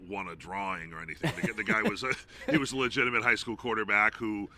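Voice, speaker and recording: A man's voice: on the low side, quick pace, sharp tone. A man who works as a play-by-play announcer, giving informal, discursive sports analysis as an interview guest.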